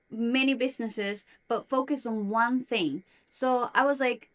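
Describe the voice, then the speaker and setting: A woman's voice: on the high side, a bit bright. A young woman from Argentina whose first language is Spanish, talking earnestly to camera about her own life in a self-help vlog. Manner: earnest, confiding, with a few restarts. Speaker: self-development vlogger. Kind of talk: personal talk to camera.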